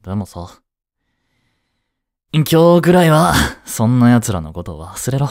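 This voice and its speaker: Man acting as teenage schoolboy, easygoing, cheeky, protective, lively swooping pitch, unhurried, slangy, romantic audio drama. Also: no echo, clean studio sound. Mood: easygoing, reassuring. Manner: casual, slangy, teasing, gently reassuring. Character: laid-back, cheeky, protective male high school student.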